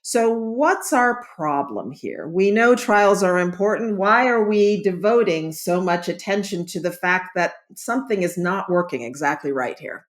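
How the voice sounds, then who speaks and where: A woman hosting an interview in a measured, articulate way. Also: mid-range voice, lively intonation. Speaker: interview host, journal editor. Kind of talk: interview conversation.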